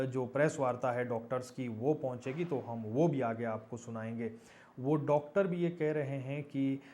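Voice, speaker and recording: A man's voice: mid-range voice, darkish tone. A man presents news commentary to viewers, stressing key phrases and posing rhetorical questions.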